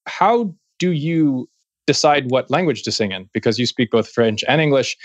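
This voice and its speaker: A man's voice: medium pitch, lively intonation, moderate pace, no echo. A man hosting a music interview podcast, chatty and joking, often restarting his sentences. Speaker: podcast host. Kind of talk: casual interview chat.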